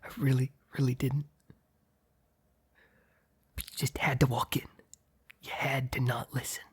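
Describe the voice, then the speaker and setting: A very husky, breath-heavy mob boss acted by a man in an intimate ASMR boyfriend roleplay. He speaks slowly and haltingly, often close to a whisper. He is blunt and ruthless, yet easily flustered around his partner. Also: mid-range voice, little pitch movement. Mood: confessional, cold. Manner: halting, sighing, blunt, swearing.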